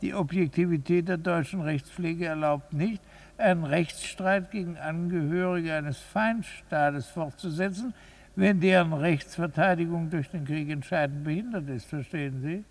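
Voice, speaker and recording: Polite bureaucratic lawyer, slightly high voice, placating, officious, radio play. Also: male voice, moderate pace. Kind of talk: German radio drama acting.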